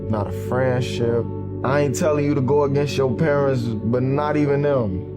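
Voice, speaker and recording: A man's voice: medium pitch, normal speed. A man giving a blunt motivational rant, slangy and full of swearing. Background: music.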